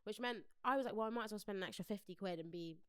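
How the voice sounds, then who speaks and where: A woman's voice: mid-range voice, rapid-fire, smooth, no room sound, studio quality. A British woman co-hosting a podcast in a casual chat about pop culture. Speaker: podcast co-host.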